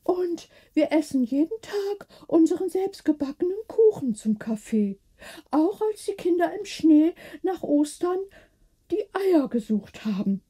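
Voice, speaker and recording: A woman's voice: very high-pitched, big pitch swings, measured pace, dark tone, pitched above the performer's own voice, full character voice. A woman voicing a small, chatty old lady neighbour in a storybook, eagerly gossiping.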